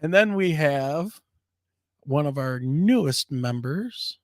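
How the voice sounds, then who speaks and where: A man's voice: fairly high pitch, lively intonation, slow, no room sound, clean studio sound. A man co-hosting a casual live-streamed talk show, chatty and upbeat, his voice scratchy and worn. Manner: upbeat, chatty, laughs easily. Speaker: co-host of a paranormal talk show.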